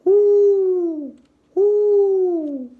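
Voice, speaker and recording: A woman voicing a father who calls out like a great horned owl in loud, high hoots. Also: extremely slow, phone-quality sound, exaggerated voice. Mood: calling out.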